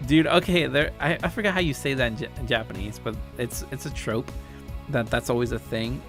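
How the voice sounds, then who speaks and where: A man's voice: mid-range voice, brisk, fairly bright tone, faint music behind. A man reading a story aloud in a casual way, stumbling over words and correcting himself. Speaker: video maker reading aloud a story game. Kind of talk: casual read-aloud narration with asides.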